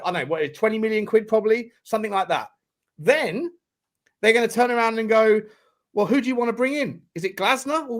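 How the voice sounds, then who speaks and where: A man co-hosting a football podcast, animated and long-winded, tagging his points with "right?" and "mate". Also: high voice. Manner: animated, explains at length, says "mate" and "right". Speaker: podcast co-host. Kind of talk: casual football chat.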